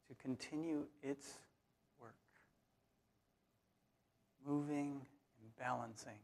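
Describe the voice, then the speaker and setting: A man guides a meditation in a gravelly, creaky voice at a mid-range pitch. He speaks very slowly and soothingly, setting short phrases apart with long, unhurried pauses. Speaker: meditation leader.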